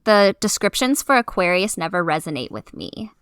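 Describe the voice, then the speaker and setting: A woman with a high voice, co-hosting a casual chat podcast, chatty and full of "like" fillers. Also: sing-song, moderate pace, fairly bright tone, clear, resonant tone, no room sound, studio quality. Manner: chatty, filler-heavy with lots of "like". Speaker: podcast co-host.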